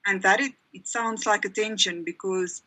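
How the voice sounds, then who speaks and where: A woman's voice: medium pitch, fairly even intonation, moderate pace, sharp tone. A woman speaking on an academic panel, laying out her argument and stressing key words. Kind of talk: academic presentation.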